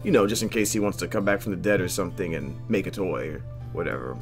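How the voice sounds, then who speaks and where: A man hosting a game playthrough, reading visual novel narration aloud in an expressive way, stumbling now and then and dropping in joking asides. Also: deep voice, quick pace, soft background music. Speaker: host of a video game playthrough.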